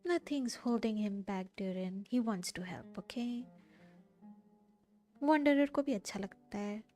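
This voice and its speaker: A woman's voice: mid-range voice, fairly quick, darkish tone, faint music behind. A woman with an Indian accent giving casual, musing live commentary on a game, mixing Hindi and English. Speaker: game streamer.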